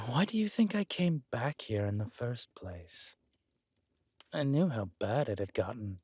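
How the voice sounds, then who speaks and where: Man acting a slow, husky, sly villain king. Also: medium pitch, very animated, darkish tone, dry recording. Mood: conspiratorial, cynical. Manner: teasing, haughty, deliberate with drawn-out words. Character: villainous conqueror king, sly, smug, flirtatious husband. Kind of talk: dramatic romantic roleplay audio.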